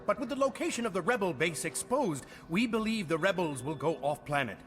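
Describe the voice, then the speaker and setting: A dutiful Imperial officer in a space opera audio drama. His high, forceful voice is brisk and very animated, ringing with long reverb. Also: soft background music. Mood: formal, reporting. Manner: brisk military delivery. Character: alert, dutiful male Imperial military officer.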